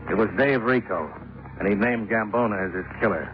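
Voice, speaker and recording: A man playing a brisk, level-headed investigator in a 1950s radio detective drama, clipped and matter-of-fact. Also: fairly low pitch, lightly acted. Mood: dry narrating. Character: brisk, level-headed male private insurance investigator narrating his case. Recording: narrow-band 1950s radio recording, music behind.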